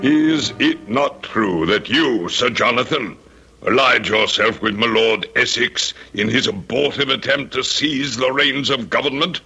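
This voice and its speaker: An actor playing a pompous, deranged aristocrat who sits as a merciless judge, declaiming in archaic language in an old-time radio drama. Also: male voice, medium pitch, a little slow, bright, forceful tone, breathy edge, exaggerated voice. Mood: haughty, indignant.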